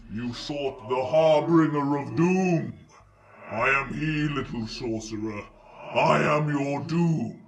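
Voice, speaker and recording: A man voicing a wrathful, mocking daemon of doom in a fantasy audio drama, with slow, theatrical menace. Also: medium pitch, gravelly, full character voice. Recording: loud music behind.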